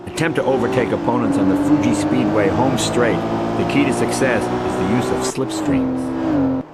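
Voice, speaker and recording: A man's voice: mid-range voice, sing-song, normal speed, gravelly. A man reading scripted instructional narration for a racing video game tutorial, in an explanatory manner. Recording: engine and race car noise throughout. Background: loud race car engines.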